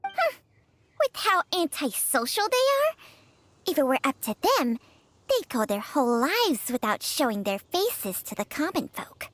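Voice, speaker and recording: A woman voicing a haughty, sharp-tongued female snake spirit in an anime-style video game, with a sardonic manner. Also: high-pitched, very animated, measured pace, dry recording, heavily acted.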